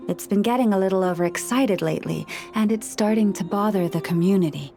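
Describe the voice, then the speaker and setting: A woman plays a gentle keeper of a forest library who talks with animals, her voice mid-pitched, calm and politely formal. Game music plays clearly underneath her lines. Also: fairly quick, close to an everyday voice. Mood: patient. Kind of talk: video game voice acting. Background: game background music.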